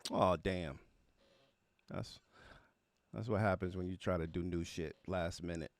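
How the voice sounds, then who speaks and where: A man's voice: sits low, fairly even intonation, measured pace, no echo. A man hosting a livestream, chatting casually and slangily as he greets viewers by name. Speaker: livestream host of music reaction show.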